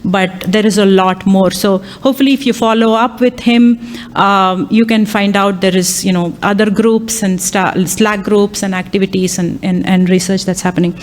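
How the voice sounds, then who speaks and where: A woman's voice: mid-range voice, steady tone, normal speed. A woman whose first language is Tamil, an AI lecturer and workshop chair, speaking live at a conference with many ums, uhs and restarts. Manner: hesitant, many fillers and restarts. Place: conference hall.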